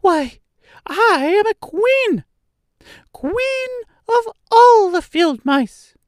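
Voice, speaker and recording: A tiny queen of the field mice, acted by a man, squeaks in an extremely high voice. She is proud and imperious, drawing out her words slowly in theatrical storybook fashion. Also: big pitch swings, dry recording, studio quality, heavily acted. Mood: indignant. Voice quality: squeaky, little voice. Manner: imperious, emphatic. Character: tiny proud female field mouse queen, regal and grateful. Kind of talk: theatrical storybook character reading.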